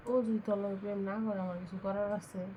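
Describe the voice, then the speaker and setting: A woman's voice: mid-range voice, flat delivery, brisk, mellow, a little hiss or hum, lightly acted, low-quality recording. A woman playing an offhand, teasing elder sister-in-law in an amateur skit, with a rural Bangladeshi Bengali accent. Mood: defensive. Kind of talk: amateur skit dialogue, colloquial.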